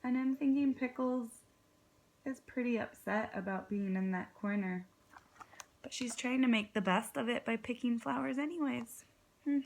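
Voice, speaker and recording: A woman, a kindergarten teacher, reading a storybook aloud expressively, with dramatic pauses and encouraging questions for young listeners. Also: fairly high pitch, creaky. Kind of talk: children's read-aloud with prompting questions.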